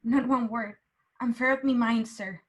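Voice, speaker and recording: A woman's voice: fairly high pitch, steady tone, normal speed, lightly acted. A woman playing a humble, anxious village seamstress, deferential and pleading, in a radio play. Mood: worried. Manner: pleading, plain-spoken, deferential.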